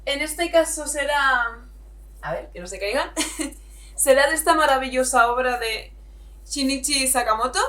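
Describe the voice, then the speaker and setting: Woman, young adult, high pitch, casual self-correcting video review. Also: a little slow, long pauses, fairly bright tone. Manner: chatty, self-correcting, apologetic. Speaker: manga reviewer, channel host.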